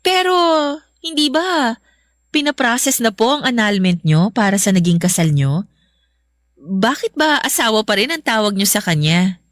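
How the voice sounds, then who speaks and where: An earnest young female teacher, played by an actress, with a mid-pitched voice. She is guarded and polite, in a radio drama style. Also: big pitch swings, moderate pace, dry recording, studio quality, natural voice. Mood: defensive, hesitant. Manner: polite, formal, restrained.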